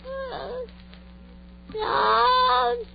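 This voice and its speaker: Shrill, gravelly revived madwoman. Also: level tone, very slow, faint music behind, full character voice. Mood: mindless echoing. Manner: repeats words like a parrot, shrieking and laughing. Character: revived young woman with broken mind, parroting words mindlessly. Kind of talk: old-time radio horror acting. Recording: muffled, hissy old radio recording with hum.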